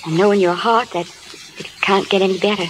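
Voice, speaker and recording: An actress playing a devoted young film-star wife, earnest and pleading, in a 1930s radio drama. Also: female voice, mid-range voice, fairly even intonation, brisk, lightly acted, poor audio. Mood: tender sorrow. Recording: old hissy radio broadcast.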